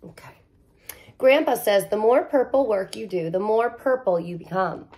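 A woman in her thirties reading a children's picture book aloud like a teacher, expressive, with chatty asides straight to young listeners. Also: medium pitch, normal speed. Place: living room at home, indoors.

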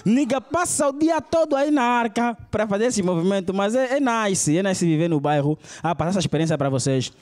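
High-pitched, animated Angolan comedian man. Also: brisk, hardly any pauses. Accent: Angolan Portuguese. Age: young adult. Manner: animated, acting out characters. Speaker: stand-up comedian. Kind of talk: stand-up comedy storytelling. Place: comedy club stage with audience. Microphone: handheld microphone.

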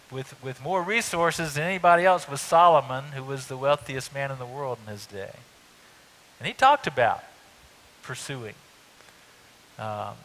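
A middle-aged man preaching a sermon on a church stage, talking in a conversational way with lively emphasis. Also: mid-range voice, poor audio. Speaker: pastor. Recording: faint hiss.

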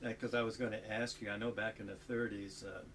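A man interviewing a guest for an oral history, asking halting questions with many fillers. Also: lowish voice, level tone, fairly bright tone, a little hiss or hum. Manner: hesitant, prompting with short questions.

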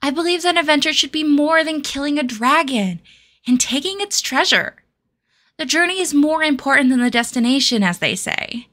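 Hopeful young adventurer, acted by a woman, high bright voice, earnest and dreamy, fantasy game. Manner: earnest, wistful monologue. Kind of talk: character demo for fantasy tabletop game.

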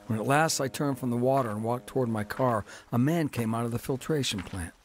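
A man's voice: mid-range voice, brisk, a little hiss or hum. A man narrating his own story of faith in a dramatized radio testimony, earnest and preacherly.

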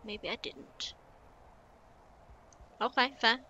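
A woman's voice: on the high side, drawn-out words, sharp tone, muffled recording, a little hiss or hum. A young woman, chatting casually to herself while playing a building game on stream. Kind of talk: casual gameplay commentary.

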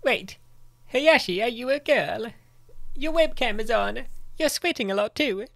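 Woman voicing an earnest, creaky young man. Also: a little high, animated, a little slow, a bit bright, pitched above the performer's own voice, exaggerated voice. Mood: surprised. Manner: considerate, apologetic, earnest.

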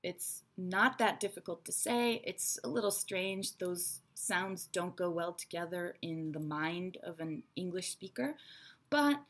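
A woman in her thirties, raised between Israel and America, giving a chatty, frank English lesson to her webcam in a small bright room. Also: lowish voice, expressive, a little slow. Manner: chatty, frank, teacherly asides. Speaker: English teacher. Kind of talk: online English lesson to camera. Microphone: webcam at desk.

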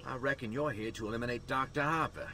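A man's voice: medium pitch, quick pace, close to an everyday voice. A man playing a wry, wary military guide giving briefings in a video game. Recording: clear battlefield noise behind.

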